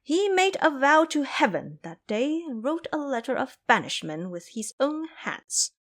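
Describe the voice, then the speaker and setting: A woman's voice: high voice, very animated, unhurried, fairly bright tone, voice pushed up, heavily acted. A woman voicing a proud, mocking male monkey king in an audio drama, commanding and teasing. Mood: scornful.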